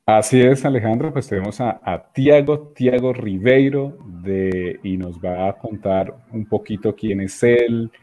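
A male radio host with a fairly low voice introduces his guests in a relaxed, friendly manner. He circles back and repeats phrases as he talks. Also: a little slow, few pauses. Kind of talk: casual radio talk.

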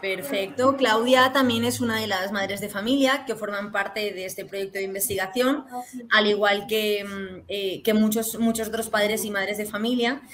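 A woman's voice: medium pitch, steady tone, moderate pace, a bit bright, a little hiss or hum. A woman hosting a talk program, upbeat and emphatic, with frequent fillers. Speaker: host.

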